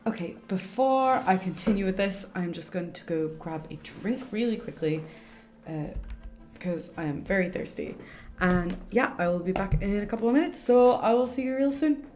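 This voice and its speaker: A woman's voice: medium pitch, lively intonation, moderate pace, darkish tone, soft background music. A woman giving casual live game commentary, chatty and teasing as she talks back to the characters. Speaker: game streamer.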